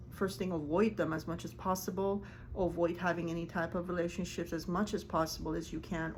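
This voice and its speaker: A woman who grew up speaking Farsi, a life coach talking casually to camera in earnest, halting speech with many ums and restarts. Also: fairly low pitch, slight background noise, low-quality recording.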